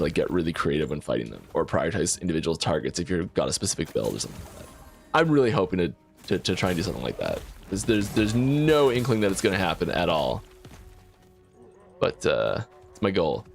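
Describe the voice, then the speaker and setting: A man who works as a game developer rambles casually on a played-back stream, often restarting his sentences. His voice is very low. Faint music plays behind him. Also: sing-song, quick pace, creaky. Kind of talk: casual dev stream talk.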